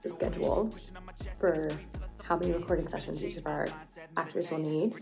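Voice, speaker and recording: A woman's voice: low-pitched, steady tone, moderate pace, gravelly, darkish tone. A young adult woman chatting casually in a vlog, giving updates as a producer indoors at home. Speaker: audio drama writer and producer. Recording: background music, roomy echo.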